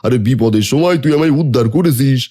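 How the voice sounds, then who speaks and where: A man voicing a jovial, mellow village trader. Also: medium pitch, quick pace, natural voice. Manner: friendly, jovial, teasing. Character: middle-aged village chicken trader Ratan.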